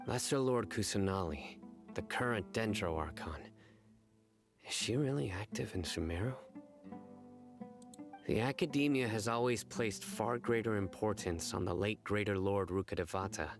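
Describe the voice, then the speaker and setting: An actor playing a serious, measured young male investigator in a video game, speaking formally and deliberately. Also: fairly low pitch. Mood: reflective. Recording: plucked-string music behind the voice.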